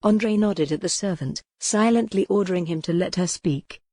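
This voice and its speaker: A woman's voice: on the low side, moderate pace, warm tone, no echo. A woman reading a historical novel as scripted, dramatic audiobook narration.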